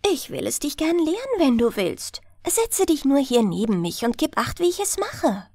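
A woman storyteller plays an innocent little girl from a fairy tale, trusting and helpful. The voice is high, with lively swings in pitch and an artless, childlike way of talking. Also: moderate pace, no echo, clean studio sound. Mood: eager, helpful. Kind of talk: bedtime fairy-tale reading.

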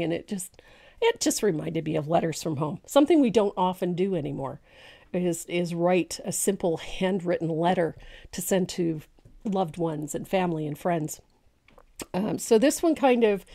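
A woman in her sixties, a little congested and gravelly, hosting a live painting lesson in her home studio in a chatty way. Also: lowish voice. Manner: chatty, warm-hearted, laughs often, many ums. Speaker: painting teacher, live show host. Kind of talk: casual live painting tutorial. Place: home craft studio, indoors. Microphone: studio mic on boom arm.

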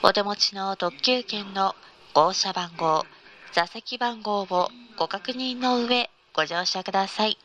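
A woman's voice: medium pitch, measured pace, fairly bright tone. A woman reading a scripted in-car train announcement in a formal, polite manner. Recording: noisy train ambience recording.

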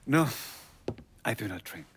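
A man playing a menacing, coldly courteous drug supplier in a video game, speaking formally and deliberately with an Italian accent. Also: medium pitch, sing-song, fairly bright tone, slight background noise. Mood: coldly polite. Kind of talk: dramatic video game dialogue.

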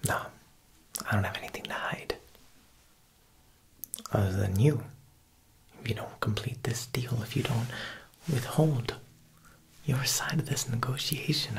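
A young man playing a smooth, menacing Italian mob boss tailor in a whispered ASMR roleplay, coaxing and deliberate with veiled threat. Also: mid-range voice, close to an everyday voice. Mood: quietly menacing. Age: young adult. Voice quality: breathy whisper with creaky edges. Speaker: ASMR performer.

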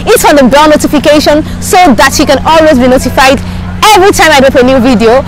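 A woman's voice: high-pitched, fast, poor audio. Upbeat young woman vlogging in a park. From Africa. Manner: upbeat, repetitive channel promotion. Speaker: YouTuber and street interviewer. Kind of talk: vlog intro and street interview. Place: outdoors in a city park lawn. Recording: outdoor park with crowd and traffic behind.

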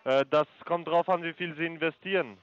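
A man with a foreign accent talks through a phone sales pitch, stumbling over his grammar and filling gaps with hesitations. His voice is smooth and sits a little high. The line sounds dry and dull, like a muffled phone recording. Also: no room sound. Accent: foreign accent in German. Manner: halting, many fillers, broken grammar. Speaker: scam call center agent.

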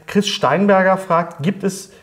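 A middle-aged man hosts a casual interview in a slightly high voice. He is chatty and jokey, wandering through his questions in a conversational way. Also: unhurried. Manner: chatty, jokey, animated gestures. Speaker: talk-show host, interviewer. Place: small dark studio set, seated at a table.

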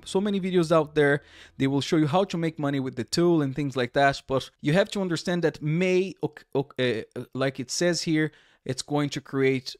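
A man's voice: a little high, dry recording, studio quality. A man in his thirties with a Portuguese accent, hosting a chatty screen-share tutorial, often repeating and restarting words. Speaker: tech tutorial host.

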